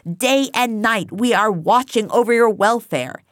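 A woman's voice: on the high side, a little slow, no room sound, clean studio sound, close to an everyday voice. A woman voicing a wheedling male pig, a slick propagandist making emphatic, pleading speeches in an audiobook. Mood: pleading, urgent. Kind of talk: audiobook character voice.

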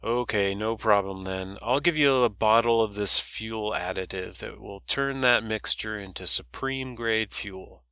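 Audiobook figure: man reading a dismissive middle-aged boss, low and bright. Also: unhurried, hardly any pauses, dry recording. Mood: curt. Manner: curt, dismissive, then smooth salesman. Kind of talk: audiobook character voice.

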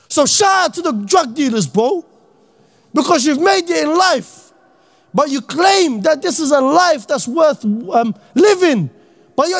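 A man from Iraq, giving an impassioned, slang-heavy talk to young people as an Islamic speaker and often shouting. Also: very high voice. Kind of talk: impassioned motivational talk to youth.

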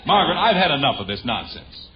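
A man's voice: on the high side, very animated, fast, a crowd in the background. An actor playing an exasperated, flustered middle-aged father with an American accent, protesting and pleading in a 1950s radio sitcom. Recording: dull old radio broadcast, audience behind.

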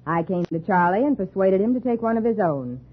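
A woman's voice: medium pitch, lively intonation, a little fast, breathy edge. An American actress playing a scheming, melodramatic wife who framed her husband, in 1950s radio drama style. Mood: coldly gloating. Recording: muffled, noisy old radio recording.

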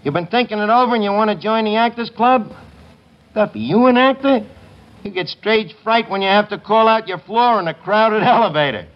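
An actor playing a scheming, wisecracking lowbrow tavern manager with a New York accent in 1950s radio comedy, using ungrammatical, streetwise speech. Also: male voice, a little fast, lightly acted. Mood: blustering, wisecracking. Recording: noisy, muffled old radio broadcast recording.

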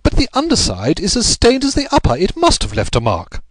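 A man's voice: high voice, huge pitch swings, brisk, no room sound, voice pushed up, exaggerated voice. A man voicing a self-important, bullying police inspector in an audiobook, taunting and pleased with himself. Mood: gleeful, teasing. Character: smug, bullying male police inspector, gloating. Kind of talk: audiobook character voice.

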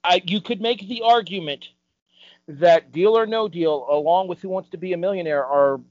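A man giving opinions as a podcast panelist, building his points emphatically and deliberately. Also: sits high, darkish tone, dry recording. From United States. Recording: dull, lo-fi close sound.

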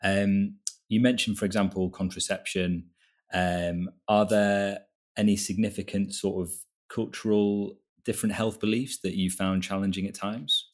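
A man with a very low voice leading an interview. He asks long, careful questions and softens them with hedges. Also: fairly even intonation. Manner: measured, hedging phrasing.

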